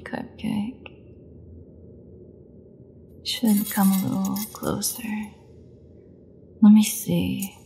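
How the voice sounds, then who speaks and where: Woman playing a slow, gravelly, sardonic female vampire. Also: mid-range voice, dark tone, slight background noise, full character voice. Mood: taunting, flirtatious, then pleading, hungry. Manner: sardonic, teasing, breathy half-whispers, slow deliberate delivery. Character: starving captive female vampire, taunting, flirtatious, desperate. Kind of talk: scripted audio roleplay monologue.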